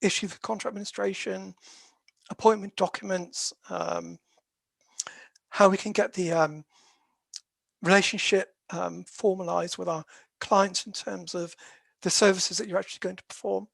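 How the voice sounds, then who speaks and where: A dispute resolution specialist giving a professional webinar talk in a croaky voice, hesitant and filling pauses with many "um"s as they explain things steadily. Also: mid-range voice, dry recording. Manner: hesitant, with very frequent "um" fillers; explanatory, steady lecturing delivery.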